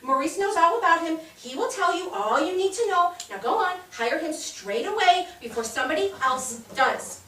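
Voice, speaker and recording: An actress in a staged reading playing a bubbly, bossy 1860s society wife, gushing and insistent in a theatrical way. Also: female voice, very high-pitched, lively intonation, moderate pace, a bit bright. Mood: chatty, bossy. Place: theatre stage, recorded from a distance. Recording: echoey stage, distant mic, faint noise.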